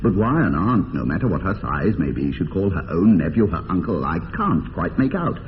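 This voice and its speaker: Arch, teasing English gentleman, tinny radio sound. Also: low-pitched, sing-song, moderate pace. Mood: amused, probing. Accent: English upper-class. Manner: arch, teasing, mock-earnest, polished phrasing. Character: idle, witty, teasing young upper-class English bachelor. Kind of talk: radio drama acting of period comedy. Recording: tinny band-limited radio, long reverb, hiss.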